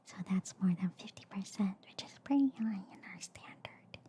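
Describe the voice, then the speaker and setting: A woman voicing a friendly, slightly shady alien girl doctor in a whispered ASMR roleplay, soothing and hesitant with soft repeated reassurances. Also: medium pitch, normal speed, husky. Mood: gently explaining. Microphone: close ASMR microphone. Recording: faint background noise, close-up ASMR.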